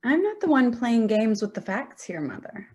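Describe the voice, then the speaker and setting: A woman's voice: a little high, big pitch swings, moderate pace, darkish tone, breathy edge. A woman playing a hurt, sarcastic adult daughter in a staged play reading, dry and confrontational with her mother.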